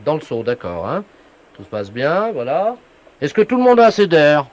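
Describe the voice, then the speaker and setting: A man playing a brisk, earnest utility official on a prank call, giving residents instructions in a businesslike deadpan. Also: fairly high pitch, no room sound. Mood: directing, official. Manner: businesslike, instructing, repeated tag questions. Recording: dull, lo-fi radio prank recording.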